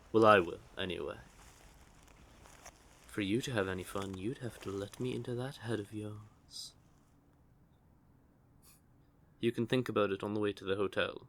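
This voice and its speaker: Man playing a low-voiced, smug, flirtatious vampire. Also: normal speed, a bit bright, a little hiss or hum. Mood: playful, smug. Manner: teasing, smug, drawling menace. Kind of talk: audio roleplay, speaking intimately to one listener. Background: outdoor night forest ambience effects.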